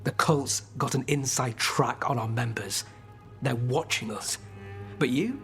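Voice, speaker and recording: A man's voice: medium pitch, unhurried, fairly bright tone, faint music behind. A man playing a wary, bargaining street-gang informant in a fantasy video game, blunt and guarded. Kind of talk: video game drama acting.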